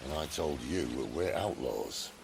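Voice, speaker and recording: An actor playing a gruff, wry British outlaw leader in a video game, with a deep, gravelly voice and colloquial manner. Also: male voice, animated, a little slow, background noise, exaggerated voice. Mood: wry, grumbling. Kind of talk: cinematic video game voice acting.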